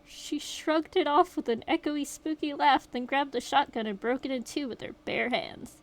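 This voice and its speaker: A woman voicing a shaken young survivor, reading the account aloud in a steady, rueful way. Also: high voice, moderate pace. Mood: grim retelling. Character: shaken young survivor recounting a horror night.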